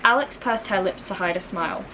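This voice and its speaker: A woman's voice: medium pitch, a little fast. A woman reading a children's novel aloud as a storybook narrator. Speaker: storybook reader. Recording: noisy, rain in the background. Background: rain.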